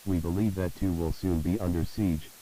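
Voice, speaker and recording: A man's voice: low-pitched, fairly even intonation, moderate pace, dark tone, rough recording. A man reads a scripted emergency broadcast announcement in a formal, solemn manner. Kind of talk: scripted emergency alert narration. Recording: hissy recording with faint noise.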